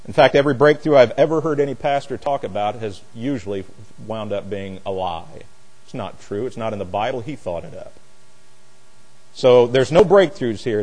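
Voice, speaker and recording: A man's voice: mid-range voice, darkish tone, low-quality recording. A man preaching as a pastor in a Bible lesson, speaking in an emphatic, opinionated, plain-spoken and folksy way. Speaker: pastor teaching a Bible study. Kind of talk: sermon, expository Bible teaching.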